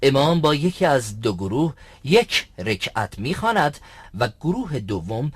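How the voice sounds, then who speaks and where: A man's voice: medium pitch, big pitch swings, slightly rough, dry recording. A man playing a learned, earnest religious teacher in an educational cartoon, explaining in a formal, didactic way. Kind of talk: scripted educational cartoon dialogue.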